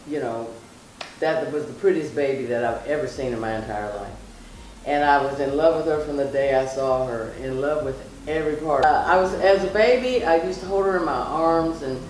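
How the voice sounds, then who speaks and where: Middle-aged mother, casual reminiscer, emphatic repetition, echoey room, off the mic. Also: low voice. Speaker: mother being interviewed. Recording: echoey, distant mic, faint noise.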